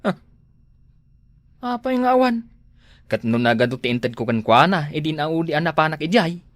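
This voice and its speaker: A man's voice: fairly high pitch, expressive, a little slow, dry recording. A man acting an easygoing husband in a comedy drama. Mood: questioning.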